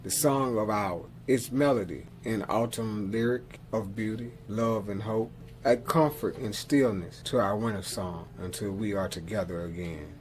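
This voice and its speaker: A man giving a dramatic poetry reading in a measured, lyrical manner. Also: on the low side, slight background noise. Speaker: poetry reader. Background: soft guitar music.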